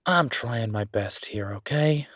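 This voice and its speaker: A man playing an affectionate, playfully grumbling young werewolf chef in an intimate, casual boyfriend roleplay audio, chatty and halting. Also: medium pitch, lively intonation, fairly bright tone, no echo, clean studio sound. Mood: grumbling, weary.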